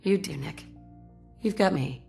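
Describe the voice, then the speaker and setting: Creaky, mellow woman, caring. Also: on the low side, unhurried, faint music behind. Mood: tender. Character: caring female pre-war survivor, fond companion of detective. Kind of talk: video game dialogue.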